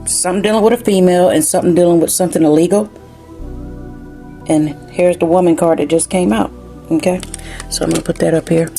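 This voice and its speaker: Gravelly low-voiced woman reading cards. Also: steady tone, fast, pauses often, mellow, faint music behind. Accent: slight Southern US country. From New Jersey, raised in South Carolina. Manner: chatty, keeps saying "you know what I'm saying". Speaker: tarot card reader. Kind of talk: casual spiritual card reading.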